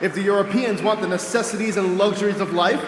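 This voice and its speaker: A man's voice: sits high, steady tone, quick pace, lightly acted. A man playing a haughty, brusque costumed hero turned demagogue in the oratorical style of a 1940s radio serial. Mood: pompous, rousing. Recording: echoey hall reverb, faint noise.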